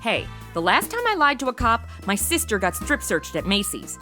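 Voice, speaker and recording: A woman's voice: on the high side, big pitch swings, normal speed, bright, forceful tone, faint music behind. A woman playing a brash, self-absorbed, sarcastic young American in an audio comedy drama. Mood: snarky. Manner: sassy, snarky.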